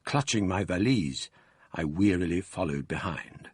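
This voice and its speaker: A wry scholar recalling the past. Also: male voice, deep voice, lively intonation, darkish tone, husky, heavily acted. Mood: reflective. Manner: measured, wry and reflective. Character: older male scholar narrating his past. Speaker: narrator. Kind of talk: radio drama narration.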